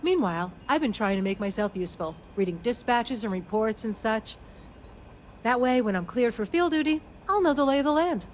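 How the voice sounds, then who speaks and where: An actress playing a friendly, eager ranger in a video game, speaking in a casual, folksy, chatty way. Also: female voice, fairly high pitch, animated, brisk, slight background noise, natural voice. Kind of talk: video game dialogue acting.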